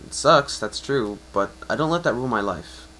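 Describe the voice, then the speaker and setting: A man's voice: mid-range voice, lively intonation, normal speed, pushed and bright, slight background noise. A man voicing an easygoing, considerate young male pony with friendly, reassuring speech in a fan story reading. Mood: upbeat, reassuring.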